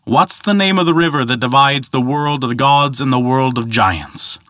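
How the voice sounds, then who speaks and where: Proud menacing giant, as a man reads him, challenging, formal, bright voice, dry close sound, storybook. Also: mid-range voice, moderate pace, dry recording, natural voice. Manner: challenging, formal repeated questioning.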